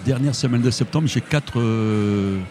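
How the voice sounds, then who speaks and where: A man's voice: mid-range voice, a little slow, music in the background. A man from Portugal, a motorcycle tour guide, chatting as a guest in a casual radio interview with many restarts.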